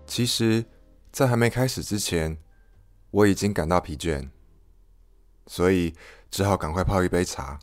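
A man's voice: deep voice, a little slow, long pauses, dry recording, soft background music. A man podcast host delivering a scripted, reflective personal monologue.

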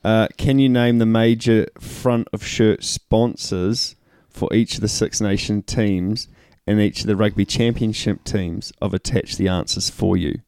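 Podcast co-host, male, New Zealand accent, lowish voice, dry close sound, deadpan teasing. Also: a little slow, vocal fry, few pauses, clean tone, no room sound. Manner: dry, teasing, short replies. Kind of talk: casual rugby podcast chat.

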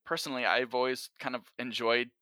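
Bright-voiced man, candid and self-effacing. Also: mid-range voice, fairly even intonation, brisk, clean tone, no room sound. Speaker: podcast guest, actor and writer of audio drama. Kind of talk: casual reflective conversation.

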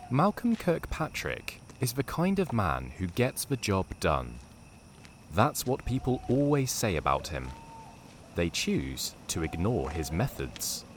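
An actor voicing a wry, deadpan storyteller, narrating a scripted dark comedy. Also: male voice, lowish voice, slow, slight background noise, exaggerated voice. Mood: dramatic.

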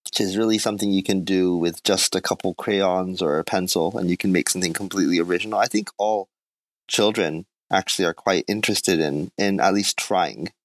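A man's voice: low-pitched, steady tone, brisk, no room sound, low-quality recording. A man being interviewed about his art, who grew up in Japan, talking thoughtfully and modestly with small laughs. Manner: soft-spoken, thoughtful, self-deprecating with small laughs. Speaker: artist guest. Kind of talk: casual interview conversation.

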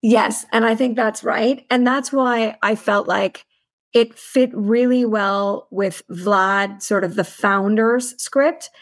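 A woman's voice: a little high, fairly even intonation, slow. A woman speaking as an interview guest, a finance executive who explains her work in articulate detail with business jargon. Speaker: chief financial officer, podcast guest.

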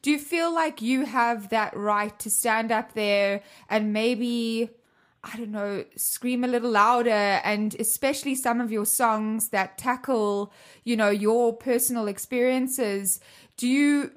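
A woman's voice: a little high, measured pace. A woman interviewing a musician, encouraging her guest with frequent affirmations. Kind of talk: interview conversation.

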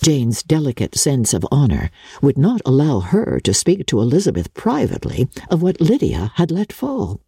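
Lady narrator reading classic lit, kinda husky and dark, measured but dramatic, dry close sound. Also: rumbling low, dry recording. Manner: expressive, measured, theatrical.

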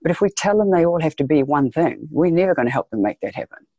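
A woman's voice: sits low, expressive, fast talker, warm tone, no echo. A woman from New Zealand with a New Zealand accent, speaking earnestly as a podcast guest and repeating phrases for emphasis. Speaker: guest, education leader. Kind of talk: podcast interview.

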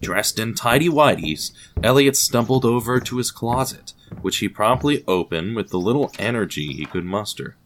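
A man narrating a scripted audio drama in a measured, theatrical storytelling manner with deliberate pauses. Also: mid-range voice, expressive, slight background noise.

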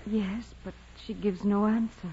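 A steadfast young peasant girl in a vintage radio play. She is earnest and polite, with a very breathy, mellow voice on a muffled old broadcast. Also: mid-range voice, steady tone, measured pace. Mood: respectful, earnest. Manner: earnest, polite, quietly firm. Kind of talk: 1940s-50s radio drama acting.

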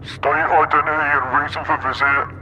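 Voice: medium pitch, flat delivery, moderate pace, sharp tone, faint music behind, rough recording. An actor playing an officious, impersonal gatekeeper giving clipped commands in a live sci-fi audio drama. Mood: curt, impersonal. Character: curt officious automated library gatekeeper.

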